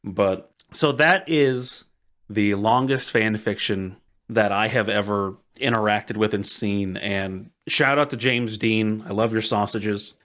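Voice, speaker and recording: A man's voice: lowish voice, slow, fairly bright tone. A man co-hosting a podcast, presenting topics in a casual, playful, joking way. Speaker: podcast co-host.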